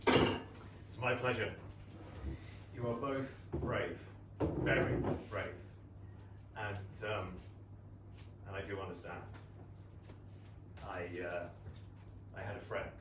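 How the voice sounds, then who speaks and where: Wry English gentleman father, echoey stage. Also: low voice, fairly even intonation, slow, long pauses. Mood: gentle, confiding. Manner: hesitant, understated, dry. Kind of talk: theatrical period comedy stage reading. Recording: distant echoey theatre recording, noisy background.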